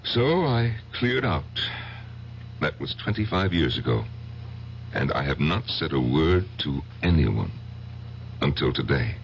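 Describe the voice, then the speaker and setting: An actor playing a middle-aged, bitter, reclusive man who confides haltingly and deliberately, in old-time radio drama style. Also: a bass voice, sing-song, creaky, heavily acted. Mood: pained, confessional. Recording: muffled, noisy old radio broadcast.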